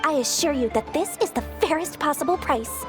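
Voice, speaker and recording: Very high, girlish flattering merchant. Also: a little fast, over music. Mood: effusive. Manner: effusive, flowery salesperson's patter. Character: small girlish merchant, flattering, money-minded, theatrical. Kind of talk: animated video game voice acting.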